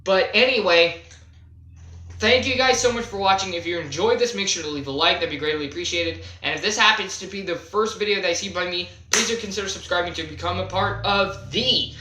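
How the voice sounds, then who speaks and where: A young man telling a casual, unscripted gaming story in a halting, self-deprecating way, stressing key words as he goes. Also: sits high, pushed and bright, a little hiss or hum. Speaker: video creator telling a personal gaming story. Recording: roomy, echoey home recording.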